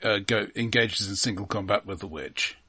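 A man co-hosting a casual film discussion, dry and deadpan, making matter-of-fact points. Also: fairly low pitch, moderate pace, breathy edge. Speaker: film podcast co-host.

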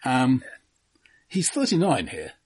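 A man's voice: mid-range voice, huge pitch swings, slow, darkish tone. A man co-hosting a film podcast, casually summarising and commenting in a dry, understated way.

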